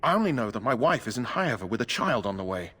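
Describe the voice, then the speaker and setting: A man's voice: medium pitch, big pitch swings, fast talker, a bit bright, slightly rough. An actor voicing an anxious male knight recruit in a video game, worried and complaining. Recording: game audio with long reverb.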